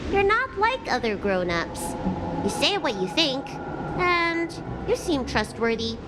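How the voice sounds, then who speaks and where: A woman's voice: high-pitched, big pitch swings, measured pace. An actress voicing a carefree, curious girlish dragon-kin healer in a game, speaking in a playful, teasing, childlike way over music. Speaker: game character.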